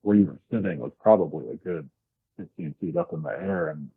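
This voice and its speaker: An American man from the southern US, casually telling a first-hand story as an interview guest, descriptive and a little halting. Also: a bass voice, breathy edge. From southern United States, grew up in Florida. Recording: tinny, band-limited remote call.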